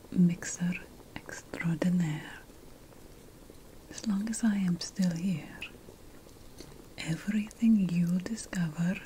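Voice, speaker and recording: Woman whispering very slowly, ASMR roleplay. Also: lowish voice, darkish tone, low-quality recording. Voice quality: breathy, mostly unvoiced whisper. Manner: slow, deliberate, pausing between phrases. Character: playful, self-important ancient witch potion master. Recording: faint background noise.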